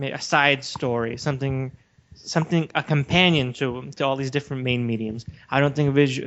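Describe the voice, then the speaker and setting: A man's voice: mid-range voice, a bit bright. A man co-hosting a podcast, explaining his opinions in casual, argumentative discussion. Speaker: podcast co-host. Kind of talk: casual discussion of video games. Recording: dull, lo-fi voice recording.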